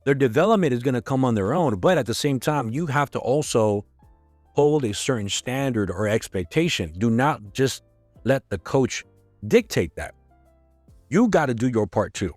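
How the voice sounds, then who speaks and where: A middle-aged man with a hoarse voice hosting a podcast, talking frankly in a casual, conversational way. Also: medium pitch, expressive, moderate pace, no room sound, faint music behind. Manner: frank, conversational, sprinkled with swearing. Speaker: podcast host. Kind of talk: casual solo podcast talk.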